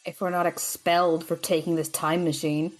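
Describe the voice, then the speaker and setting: A woman's voice: lowish voice, flat delivery, normal speed, natural voice, rough recording. A woman playing a practical, exasperated young female student with a skeptical, dry manner in an audio drama. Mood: skeptical, resigned.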